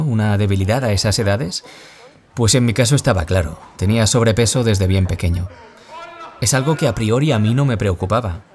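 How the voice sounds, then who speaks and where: A man acting a gentle former bullying victim turned author in an audio drama, confiding in a measured, reflective way. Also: lowish voice, lightly acted. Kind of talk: audio drama acting as a radio interview guest.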